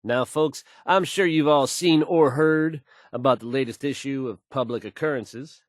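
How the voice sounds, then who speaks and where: A man acting a confident, smooth-talking, folksy old-time radio DJ for a video game. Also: medium pitch, moderate pace, dry recording, studio quality, close to an everyday voice. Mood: measured, assured. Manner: assured, folksy, showman-like.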